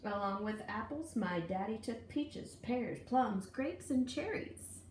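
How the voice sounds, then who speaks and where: A woman reading a children's story aloud in an expressive, folksy, deliberate way with dramatic emphasis. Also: on the high side. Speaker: storybook reader. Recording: echoey room, distant mic, faint noise.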